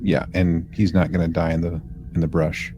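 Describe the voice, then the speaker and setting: A man co-hosting a casual podcast, speaking in a halting, folksy, agreeable way. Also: sits low, little pitch movement, dull audio, music in the background. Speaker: podcast co-host. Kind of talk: casual chat.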